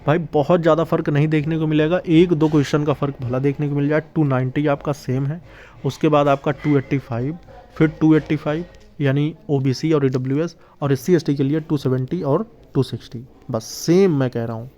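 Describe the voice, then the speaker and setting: A man's voice: fairly high pitch, fairly even intonation, fairly quick, warm tone, soft background music. A man hosting an exam-prep video, explaining informally and emphatically to candidates, often checking that they follow. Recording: dull, lo-fi sound.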